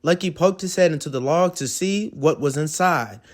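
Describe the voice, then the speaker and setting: A man reading a children's storybook aloud as host, in an expressive, dramatic storytelling manner. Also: fairly high pitch, normal speed.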